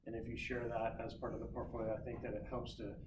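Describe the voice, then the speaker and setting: A man's voice: medium pitch, little pitch movement, quick pace. A man speaking as a guest recruiter in a class, giving career advice in a loose, conversational way with lots of ums and restarts. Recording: roomy, distant mic, noisy.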